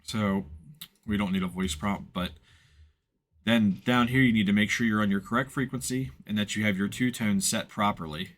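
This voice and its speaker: A man with a fairly low voice walks through a screen tutorial step by step. He explains things informally and without a script, keeping a matter-of-fact tone as he instructs. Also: moderate pace. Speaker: instructor, software tutorial presenter.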